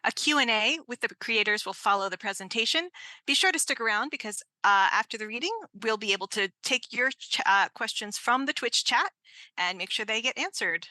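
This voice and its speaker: A woman's voice: fairly high pitch, expressive, a little fast, pushed and bright, no echo. A woman hosting an event, giving a partly scripted introduction. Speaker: event host.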